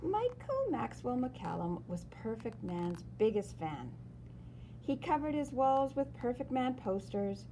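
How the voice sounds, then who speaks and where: A woman reads a picture-book story aloud with swooping, lively intonation. Her voice is mellow and on the high side. She leans on key words and pauses for drama. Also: a little hiss or hum, rough recording. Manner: animated, dramatic emphasis and pauses. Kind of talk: expressive children's story read-aloud.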